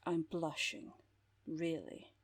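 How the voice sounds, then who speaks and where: Lady doing a cool dry criminal therapist, low husky voice, measured, very dry close sound, audio drama. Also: expressive, no echo, full character voice. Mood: wry, composed. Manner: measured, dry, formal wording. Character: composed, wry female underworld therapist who makes people disappear. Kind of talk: audio roleplay drama acting.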